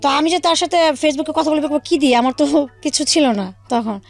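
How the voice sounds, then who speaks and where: High-voiced woman telling her life story. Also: moderate pace. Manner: recounts in detail, quoting past conversations as dialogue. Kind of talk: personal storytelling in a radio interview.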